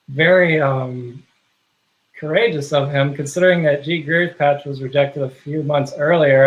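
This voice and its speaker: A man being interviewed on a video call, talking casually and haltingly with many fillers and restarts. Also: on the high side, rough recording. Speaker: guest, software project contributor.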